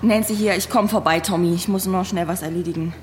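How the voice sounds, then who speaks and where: An actress playing an eager, plucky young police trainee in a radio drama, informal and direct. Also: female voice, on the low side, steady tone, fast talker, a little hiss or hum, close to an everyday voice. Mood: earnest. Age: young adult. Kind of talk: German radio drama acting.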